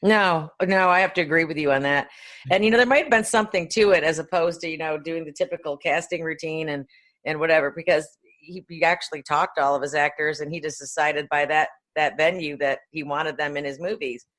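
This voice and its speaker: A woman's voice: deep voice, a little fast, a bit bright. A woman co-hosting a podcast, talking in a casual, agreeable, conversational way about film.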